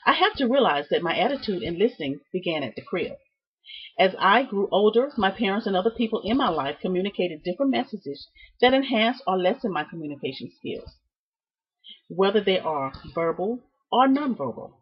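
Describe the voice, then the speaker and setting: A woman giving a prepared student presentation, reading from notes with deliberate, emphatic phrasing and halting repeats. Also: medium pitch, sing-song, slightly rough. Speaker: student presenting a class project. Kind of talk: prepared speech, read from notes. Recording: dull, muffled lo-fi sound.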